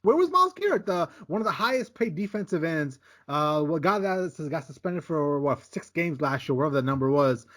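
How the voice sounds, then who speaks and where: A man's voice: a little high, big pitch swings, fairly quick, few pauses, a bit bright. A man co-hosting a sports podcast, giving emphatic, casual takes on football games and repeating "listen, man" as he goes. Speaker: podcast co-host. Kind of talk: casual sports betting chat.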